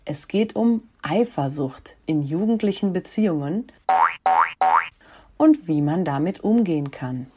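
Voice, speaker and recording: A woman host reads a scripted introduction. Her voice is lower and mellow, and her pitch moves a lot as she announces. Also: lively intonation, unhurried, faint music behind. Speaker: program host.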